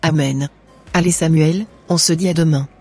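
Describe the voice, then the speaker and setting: Woman as a low, husky, studious schoolgirl. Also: fairly even intonation, normal speed, a little mellow. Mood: calm, assured. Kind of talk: scripted cartoon dialogue. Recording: music behind the voice.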